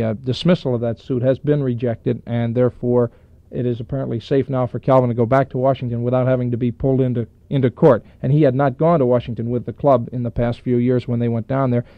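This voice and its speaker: A man's voice: mid-range voice, creaky, no room sound. An American man, a radio sports reporter, talking off the cuff in a hesitant, matter-of-fact and wordy way. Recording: dull, dry 1960s AM radio aircheck.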